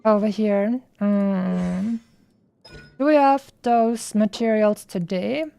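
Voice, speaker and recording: A woman's voice: mid-range voice, slow, gravelly, dark tone. A woman streamer giving casual, chatty gameplay commentary, rambling and thinking aloud as she goes.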